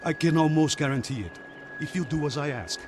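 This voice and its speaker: An actor playing a self-assured, condescending Brazilian police official in a video game, speaking formally. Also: male voice, fairly high pitch, a little fast, background noise, natural voice. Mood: assuring. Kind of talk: cinematic video game acting. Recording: music behind the voice.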